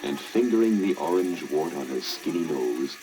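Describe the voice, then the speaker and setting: A man's voice: very deep voice, expressive, normal speed, low-quality recording. A man narrating a scripted children's fairy tale as a storyteller. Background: music.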